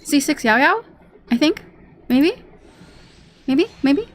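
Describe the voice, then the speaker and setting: A woman's voice: sits high, very animated, slow. A woman game streamer giving casual gameplay commentary, rambling and repeating herself as she thinks aloud. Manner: rambling, repetitive self-talk.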